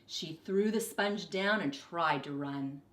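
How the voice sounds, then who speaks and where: A woman reading a children's story aloud expressively, with deliberate, dramatic emphasis. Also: deep voice, a bit bright, reverberant. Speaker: storybook reader, teacher.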